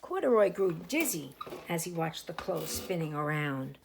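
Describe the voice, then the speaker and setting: Woman, preschool teacher, expressive storybook reading, very varied intonation, drawn-out emphasis. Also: low voice, gravelly, slight background noise. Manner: animated, with slow drawn-out emphasis; asides and explanations for young listeners. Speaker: teacher reading aloud to young children. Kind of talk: expressive picture-book read-aloud.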